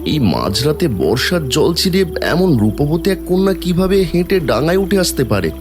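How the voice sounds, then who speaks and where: Awestruck village man, highish voice played by a man. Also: big pitch swings, normal speed. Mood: amazed. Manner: wondering, breathless amazement. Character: awestruck, simple, good-hearted village man. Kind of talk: Bengali fairy-tale cartoon dialogue. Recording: music behind the voice.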